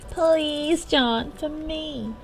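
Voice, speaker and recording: A woman voicing an eager, childlike young woman who wheedles excitably, in a visual novel read-aloud. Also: high-pitched, normal speed, voice pushed up. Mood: eager pleading.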